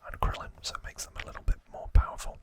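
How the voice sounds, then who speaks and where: An ASMR host whispering a casual recap in a halting way, with asides.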